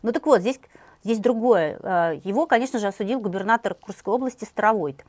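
A woman co-hosts a news talk show, delivering her stories briskly and with a wry edge. She speaks fast. The sound is dry and close, with no room echo. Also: mid-range voice, a little mellow. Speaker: co-host, journalist. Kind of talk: casual satirical news chat.

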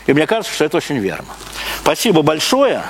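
Animated, emphatic male lecturer, noisy recording. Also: fairly high pitch, fast talker. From Russia. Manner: emphatic, repeats phrases, rhetorical questions, digressive with broken-off sentences. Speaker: public lecturer on history. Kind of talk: live public talk with audience questions. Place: live talk before an audience. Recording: clearly noisy live recording.

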